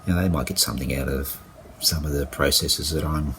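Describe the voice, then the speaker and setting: A man talking through his injury recovery in a casual video diary, halting and full of fillers and restarts. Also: a bass voice, steady tone, fairly bright tone, a little hiss or hum. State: croaky with a cold. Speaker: vlogger.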